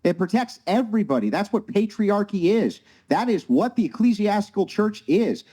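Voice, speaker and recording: A man's voice: high voice, big pitch swings, moderate pace, mellow, slightly rough. A man arguing in a heated debate, condescending and dogmatic.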